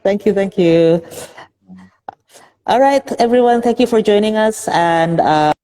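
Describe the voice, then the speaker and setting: A woman's voice: mid-range voice, unhurried, warm tone. A woman from Indonesia, a festival director, interviewing a filmmaker over a video call in a chatty way, often laughing and correcting herself. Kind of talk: casual online interview.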